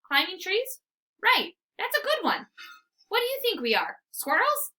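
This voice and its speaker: A woman voicing an eager, boastful young male dog in an excitable, earnest storybook read-aloud. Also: extremely high pitch, a little slow, voice pushed up, heavily acted. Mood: boastful, excited.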